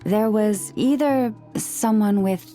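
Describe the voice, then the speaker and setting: A woman acts as a solemn moon priestess in a video game. She speaks slowly and formally in a soft-edged, mellow tone, gentle and composed, over faint music. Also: medium pitch, close to an everyday voice. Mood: thoughtful. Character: serene, solemn priestess-like leader of a moon-worshipping people. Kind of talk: video game character acting.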